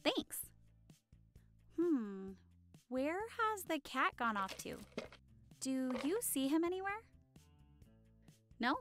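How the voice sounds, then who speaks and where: A woman's voice: high-pitched, sing-song, takes it slowly, long pauses, fairly bright tone, faint music behind, full character voice. A woman playing a friendly, affectionate young hostess in an audio roleplay, chatting cheerfully and reassuringly to the listener. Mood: chatty, reassuring. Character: friendly, affectionate young woman hosting a Halloween costume party.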